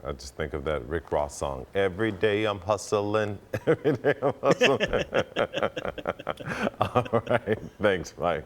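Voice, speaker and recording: A man's voice: on the low side, sing-song, takes it slowly, a little hiss or hum. A man anchoring morning news in a casual, chatty style.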